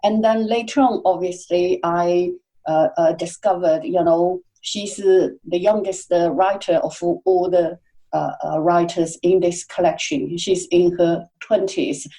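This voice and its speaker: A woman speaking as a podcast guest, answering at length in a halting way with many fillers and restarts. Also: lowish voice, fairly even intonation, mellow, rough recording. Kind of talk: conversational interview answers.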